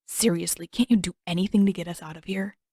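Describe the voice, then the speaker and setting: A woman's voice: fairly low pitch, steady tone, quick pace, warm tone, breathy edge, dry recording, clean studio sound. A woman voicing a sarcastic, worried teenage brother who grumbles and complains. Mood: grumbling. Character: young teen boy, sarcastic worried brother.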